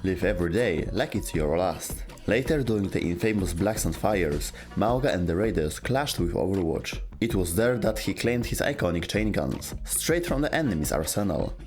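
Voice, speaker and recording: A man narrates a scripted video game lore explainer. His voice sits fairly low, and there is quiet music underneath. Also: animated, normal speed, few pauses.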